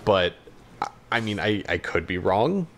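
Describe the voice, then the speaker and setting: Male podcast co-host, lowish pitch, conversational and hesitant, qualifies his opinions as he speaks. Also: very animated, a little slow, a little hiss or hum. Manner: hesitant, hedging, backtracking. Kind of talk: casual chat about games and films.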